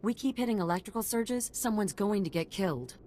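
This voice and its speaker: A woman acting a military engineer in a video game, medium in pitch. She sounds professional and matter-of-fact over faint noise. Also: lively intonation, fairly quick, mellow, lightly acted. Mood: concerned.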